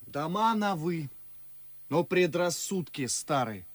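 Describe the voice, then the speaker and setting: Proud young gentleman, high-pitched, sardonic. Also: lively intonation, slow, dry recording. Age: young adult. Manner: biting, declamatory. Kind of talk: radio play acting in verse.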